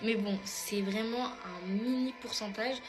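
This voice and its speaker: A woman's voice: mid-range voice, a little slow. A teenage girl chatting casually in a get-ready-with-me vlog, answering her followers' questions with lots of fillers. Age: teens. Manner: chatty, many fillers, addresses followers as "mes stars". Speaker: beauty pageant contestant vlogger. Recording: music playing under the voice. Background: background music.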